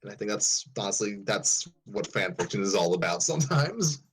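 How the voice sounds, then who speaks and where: A man's voice: fairly low pitch, sing-song, normal speed, breathy edge. A man hosting a casual podcast discussion about a story. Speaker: podcast host.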